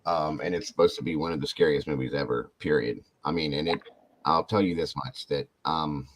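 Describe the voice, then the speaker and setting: Male podcast host, deep, loose and animated delivery. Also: a little fast. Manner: rambling, animated, talks to chat viewers. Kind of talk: casual podcast chat.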